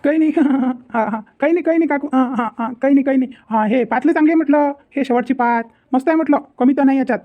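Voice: sits high, fast talker, few pauses, close to an everyday voice. A performer voicing a cheeky, grumbling young village lad in a comedy cartoon, with a Vidarbha Marathi accent and a habit of repeating himself. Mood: nervous, placating. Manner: muttering complaints, repeating himself. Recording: muffled, phone-like lo-fi sound.

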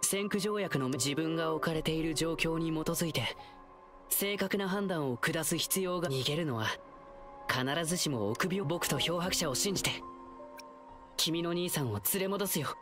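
A performer voicing a cheerful, confident young male hero in a game dub, with a lively, reassuring way of talking. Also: medium pitch, moderate pace, natural voice. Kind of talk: Japanese game dub acting. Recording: game audio with loud music.

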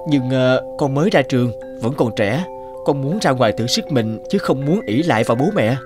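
A man voicing an earnest young man in an animated moral tale, polite and hesitant in manner. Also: medium pitch, normal speed, over music, close to an everyday voice. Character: earnest young man, hesitant heir hiding his wealth.

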